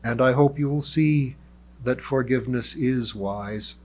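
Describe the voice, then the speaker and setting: A man voicing an ancient elf who speaks in a calm, formal and somewhat condescending way. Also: medium pitch, natural voice. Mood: apologetic. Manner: measured, formal and lecturing.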